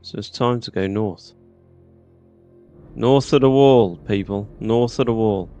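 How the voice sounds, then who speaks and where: A man's voice: fairly low pitch, slow pace, dark tone, muffled recording, faint music behind. A British man doing casual gameplay commentary, chatty and informal as he muses to himself. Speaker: gaming host.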